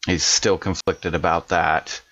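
Very deep, slow man, muffled sound. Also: level tone, sharp tone. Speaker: player in a tabletop game. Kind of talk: casual in-game narration of his character.

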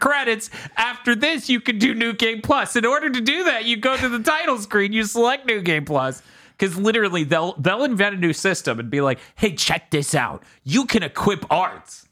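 Lively, jokey male game podcaster. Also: high voice. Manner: jokey, sarcastic, acts out game tutorials in a mock voice. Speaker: podcast co-host. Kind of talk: casual chat about video games.

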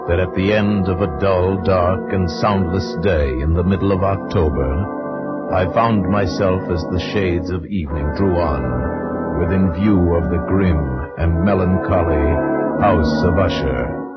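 An actor playing a melancholy, haunted gentleman who narrates a gothic radio drama of the 1940s in grave, formal, measured speech. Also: low voice, over loud music. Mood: brooding dread. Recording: muffled old radio recording.

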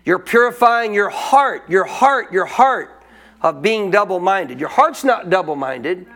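A man's voice: high-pitched, lively intonation, unhurried, fairly bright tone. A man preaching a sermon as pastor, teaching in a colloquial call-and-response manner.

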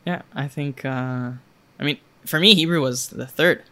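A young adult man whose first language is Spanish, talking in a relaxed, thoughtful way and explaining with examples. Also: mid-range voice, moderate pace. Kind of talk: casual conversation.

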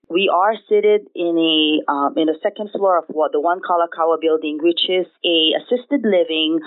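Phone-line sound, middle-aged Filipino woman, slightly low voice, conversational interview guest. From Philippines. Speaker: interview guest, director of nursing.

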